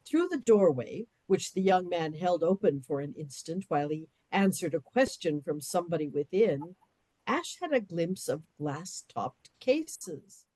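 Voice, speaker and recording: A woman narrating a radio play in a measured storybook reading. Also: lowish voice, very animated, warm tone, dry recording.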